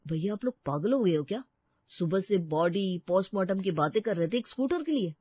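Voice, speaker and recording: A woman voicing excitable, sentimental male relatives with melodramatic delivery in a comic family story. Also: medium pitch, brisk, slightly rough, no echo, lo-fi sound. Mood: exasperated.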